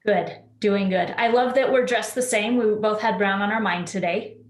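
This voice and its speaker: A woman's voice: mid-range voice, normal speed, reverberant. A woman hosting a home decor podcast, asking upbeat questions and laughing easily in a casual interview.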